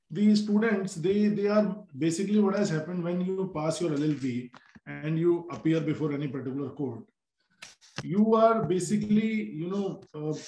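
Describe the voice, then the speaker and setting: A man with an Indian accent talks as a panelist on a video call, his voice sitting fairly high for a man. He explains in a measured way, often restarting his phrases. The sound is roomy, picked up by a laptop mic in a small office. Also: a little mellow.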